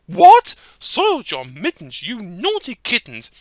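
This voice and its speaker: A nursery-rhyme reader voicing a stern mother cat who scolds her kittens with sharp exclamations. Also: medium pitch, huge pitch swings, measured pace, no echo, pitched above the performer's own voice. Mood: scolding.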